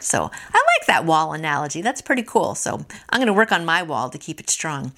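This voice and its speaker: A woman's voice: sits low, a bit bright. A woman hosting a devotional, reading and commenting in an encouraging, friendly way that speaks directly to listeners. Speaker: devotional podcast host. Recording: voice over background music.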